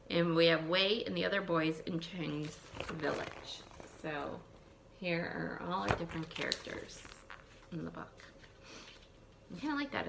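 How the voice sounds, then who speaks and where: Creaky-voiced woman reading aloud to children. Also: on the low side, slight background noise, poor audio. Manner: expressive, thinking aloud with questions to young listeners. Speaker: elementary school teacher. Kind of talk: picture-book read-aloud with asides to children.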